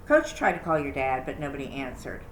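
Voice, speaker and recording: A woman's voice: a bass voice, sing-song, fairly quick. A woman reading a storybook as a teasing, skeptical but concerned middle-school boy with a dry manner. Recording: roomy echo, background noise.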